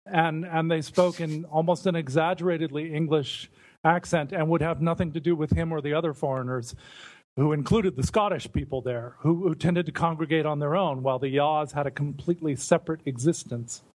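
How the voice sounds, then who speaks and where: An American man with a fairly high voice chats casually on a podcast. He tells stories in an amused way and stumbles a little as he gets his sentences going. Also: fairly even intonation, fairly quick, darkish tone, poor audio. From United States. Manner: chatty, stumbling starts, amused.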